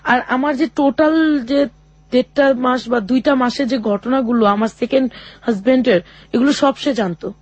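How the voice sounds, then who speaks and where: A woman's voice: sits high, a little mellow, no echo, lo-fi sound. Earnest woman telling her life story. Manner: halting and earnest, often quoting others. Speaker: interview guest. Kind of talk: personal life story told in an interview.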